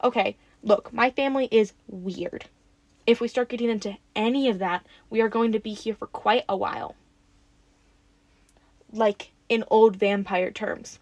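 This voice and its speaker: A woman acting in an audio roleplay as a friendly, excitable young woman who rambles casually and gets playfully defensive. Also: on the high side, unhurried, no room sound, rough recording.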